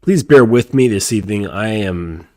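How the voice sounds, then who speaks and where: A man's voice: fairly low pitch, expressive, normal speed, dark tone, no echo, clean studio sound. A man hosting his show while congested from a cold, talking nasally in a rambling casual way with lots of fillers and restarts. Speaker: podcast host. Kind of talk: casual chat.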